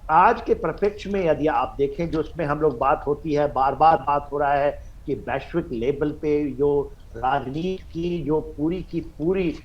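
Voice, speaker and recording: An Indian man giving expert commentary on a news panel, halting and repeating himself, mixing in English phrases. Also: on the high side, highs cut off. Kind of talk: live news panel analysis.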